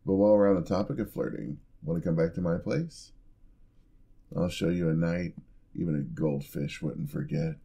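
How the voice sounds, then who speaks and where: A man voicing a flirty yandere husband in an ASMR roleplay, smooth-talking and teasing with a playful menacing edge. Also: low-pitched, moderate pace, warm tone, heavily acted.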